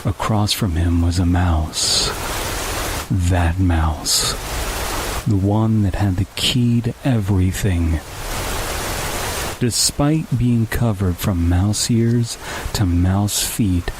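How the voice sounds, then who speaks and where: A man narrating a scripted story in a dramatic, mock-serious and deliberate way. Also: low-pitched. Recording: hissy background noise.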